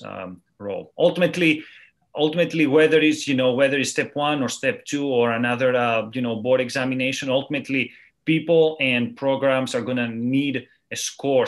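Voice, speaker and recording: Man, from Greece, 30s, video call guest, earnest, hesitant fillers. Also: mid-range voice. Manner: earnest, many ums and you-knows, measured explanations. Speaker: neurosurgery resident guest. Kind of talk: interview over video call. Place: small room at home, indoors. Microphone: video call webcam mic.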